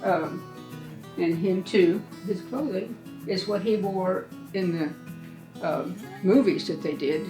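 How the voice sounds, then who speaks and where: Over clearly audible guitar music, an elderly woman speaks in a slightly low voice. She is plainspoken and halting, showing visitors around informally as the keeper of a small museum and shop. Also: low-quality recording. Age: 80s. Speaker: museum and gift shop keeper giving a tour. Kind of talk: informal guided tour.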